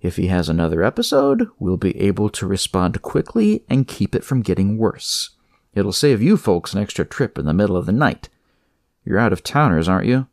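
A man voicing a calm, reassuring hospital doctor in a story reading, firm and explanatory. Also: lowish voice, moderate pace, no room sound, clean studio sound.

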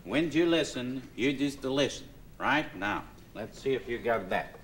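A man's voice: medium pitch, expressive, unhurried, close to an everyday voice. A man playing a fussy, exasperated office boss. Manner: fussy, exasperated, over-explaining. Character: flustered pompous middle-aged male boss. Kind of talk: 1970s TV sketch comedy. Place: TV studio office set. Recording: loud hiss on old TV tape.

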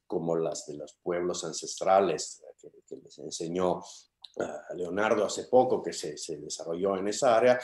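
Guy in his 50s lecturing on a webcam, really low voice, lots of ehs, roomy echo. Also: darkish tone. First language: Italian. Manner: hesitant, many fillers and restarts. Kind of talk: academic lecture over video call. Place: home study with bookshelves. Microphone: video call webcam.

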